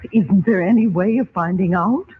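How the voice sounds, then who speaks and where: A woman's voice: medium pitch, normal speed, slightly rough. Anxious mother, muffled vintage radio. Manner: urgent, earnest. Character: anxious, earnest mother and worried wife. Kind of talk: old-time radio drama acting. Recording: dull, faintly noisy vintage radio recording.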